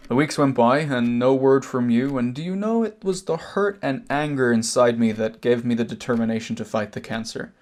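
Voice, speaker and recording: An amateur actor with an Irish accent playing a wronged older brother back from abroad, telling his story in a deliberate, pointed way. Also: medium pitch, darkish tone, close to an everyday voice. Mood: hurt, resolute. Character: wronged older emigrant brother, cancer survivor, measured, bitter. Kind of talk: amateur stage drama acting, long monologue.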